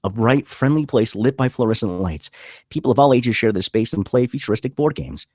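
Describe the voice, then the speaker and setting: A man's voice: medium pitch, animated, a little fast, a little mellow, slightly rough, no echo, studio quality. A man reading the stage directions as scripted narration at a screenplay table read.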